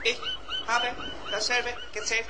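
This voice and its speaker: An actor voicing a fussy, eager little robot in a radio play, speaking haltingly and repeating words mechanically. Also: medium pitch, huge pitch swings, heavy background noise. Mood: matter-of-fact.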